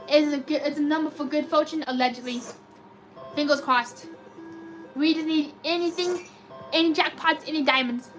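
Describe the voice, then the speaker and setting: Woman streamer, high and robotic-sounding, chatty and excitable, faint music behind. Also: poor audio. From United States. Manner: chatty, excitable cheering at spins. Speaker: slot machine live streamer. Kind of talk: live stream commentary reading chat.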